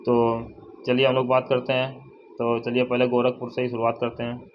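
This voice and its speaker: A young man giving a casual informational update to viewers, halting and often repeating himself as he restates figures. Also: mid-range voice, completely flat delivery. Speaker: exam update vlogger. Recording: dull lo-fi, faint noise, birdsong.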